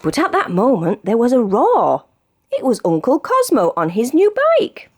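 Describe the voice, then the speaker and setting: A woman with a British accent reading a children's storybook aloud in an expressive narrating style. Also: fairly high pitch, normal speed. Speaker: storybook reader.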